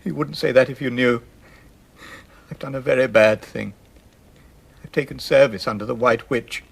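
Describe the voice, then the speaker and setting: A man playing a gentle, timid faun in a radio theatre storybook drama, fretful and self-reproachful, with halting, theatrical delivery. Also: medium pitch, gravelly, slightly rough. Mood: tearful remorse.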